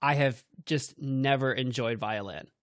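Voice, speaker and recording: A young man co-hosting a podcast in casual chat, with a higher-pitched voice, laughing often and leaning on "like" fillers. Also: unhurried, no echo, clean studio sound. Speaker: podcast co-host. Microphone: home mic over voice chat.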